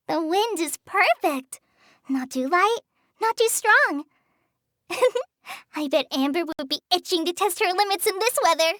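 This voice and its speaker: A woman's voice: extremely high pitch, animated, takes it slowly, fairly bright tone, no echo, studio quality, heavily acted. A woman voicing a shy young female forest ranger apprentice in a game, talking hesitantly with sighs and apologies. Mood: gentle. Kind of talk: game character voice lines. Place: studio booth.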